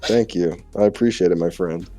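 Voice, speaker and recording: Low-voiced man bantering over game music. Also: fairly quick, mellow, no echo. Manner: joking, self-mocking. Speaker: gaming channel co-host. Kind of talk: casual banter during gameplay.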